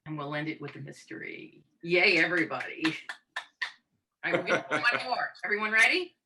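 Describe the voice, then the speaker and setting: A woman's voice: fairly low pitch, big pitch swings, brisk, vocal fry, noisy background. A woman leading an improvised spooky storytelling game over a video call. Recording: video call, distant from mic.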